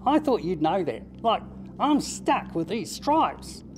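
A woman voicing a curious, excitable young striped fish in a children's puppet show. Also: mid-range voice, slow, slight background noise. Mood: excited. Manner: excitable, easily baffled.

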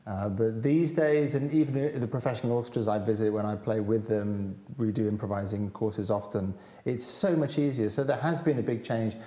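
A man's voice: fairly low pitch, moderate pace, few pauses. A man in his forties, a musician guest, speaking thoughtfully in a panel conversation in a large hall. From Britain. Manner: thoughtful, animated with hand gestures. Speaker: cellist guest. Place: large hall with high windows. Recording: echoey hall.

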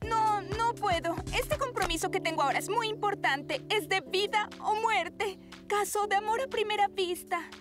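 A woman's voice: very high voice, moderate pace. A woman voicing a vain, dramatic young fashion model in a cartoon. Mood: dramatic refusal. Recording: loud music behind the voice.

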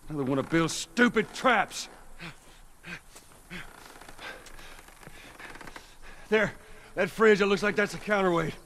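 An actor playing a gruff, stern middle-aged American survivor in a video game cutscene, speaking curtly and commandingly in folksy phrasing. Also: male voice, sits high, brisk, a bit bright, slight background noise. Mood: irritated. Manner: curt, commanding, Southern-tinged folksy phrasing.

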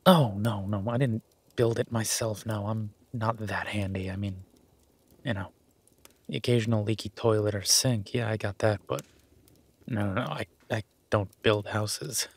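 Man acting as a husky, tender werewolf boyfriend. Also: fairly low pitch, fairly even intonation, moderate pace. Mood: relaxed, wry. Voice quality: husky, breathy. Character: affectionate easygoing male werewolf boyfriend, sappy romantic. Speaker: audio roleplay performer. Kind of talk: intimate boyfriend roleplay audio, casual one-sided chat.

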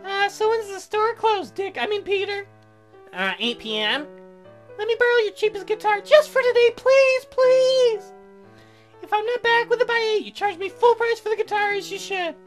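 A nervous young woman from a dating game, acted out by a man, pitched very high. She is awkward and hesitant, stumbling softly into what she means to say. Music plays behind her. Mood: pleading. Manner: hesitant, halting. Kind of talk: visual novel character read-aloud.

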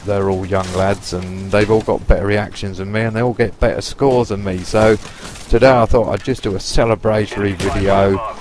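A man's voice: lowish voice, fairly even intonation, moderate pace, hardly any pauses. Chatty Lancashire man in his forties. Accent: Lancashire English. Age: 40s. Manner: chatty, rambling and cheeky, with mild swearing. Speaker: gaming YouTuber. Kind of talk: casual gameplay commentary.

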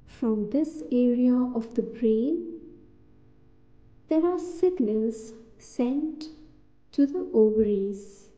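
Woman, higher-pitched voice, extremely soft mellow tone, soothing meditation narration, slightly muffled recording. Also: lively intonation. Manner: soothing, deliberate, short phrases with pauses. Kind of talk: guided meditation, scripted narration.

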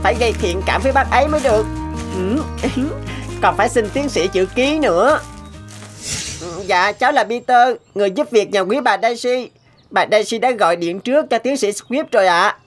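A woman's voice: on the high side, animated, normal speed, lightly acted. A woman voicing a polite, earnest young servant boy in a cartoon. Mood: eager. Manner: polite, eager. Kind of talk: animated cartoon dialogue. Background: music.

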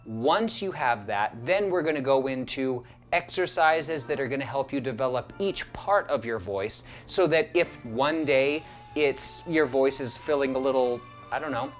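A man's voice: medium pitch, lively intonation, moderate pace, few pauses, soft background music. A man in his thirties, an acting professor, giving an upbeat, encouraging lecture to camera in a studio. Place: studio stage set.

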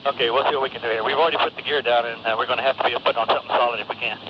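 A man's voice: medium pitch, fairly even intonation, racing. A man speaking matter-of-factly as an airline pilot over cockpit radio. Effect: radio transmission. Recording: muffled, noisy cockpit radio recording.

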